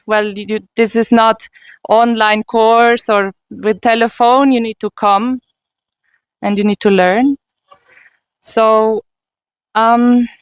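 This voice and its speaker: A woman with a German accent speaks slowly and earnestly, often pausing to find her words. Her voice is smooth and clear, recorded dry and close with no room sound. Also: fairly high pitch, no echo. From Switzerland. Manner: slow and earnest, with frequent pauses and restarts. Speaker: interviewee. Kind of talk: personal testimony.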